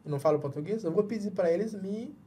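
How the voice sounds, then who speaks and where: A man's voice: sits high, fast, warm tone, a little hiss or hum. A man in his thirties from Jamaica with a Jamaican accent, a journalist guest in a small padded studio, often restarting words as he searches for them in Portuguese. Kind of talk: casual interview talk.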